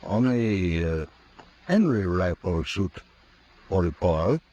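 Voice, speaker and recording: A deep-voiced steady frontier marshal. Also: male voice, full character voice. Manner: calm, measured, deliberate. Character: steady, level-headed frontier marshal, grown man. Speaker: lawman lead and narrator. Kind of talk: 1950s radio drama acting. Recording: muffled old radio recording with faint hiss.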